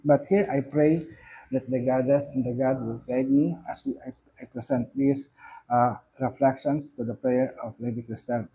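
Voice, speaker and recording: A middle-aged man from the Philippines with a Filipino accent, a reverend reading a spiritual reflection aloud, slowly and with emphasis on key words. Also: medium pitch, fairly even intonation, mellow, husky, poor audio. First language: not English. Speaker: reverend giving commentary.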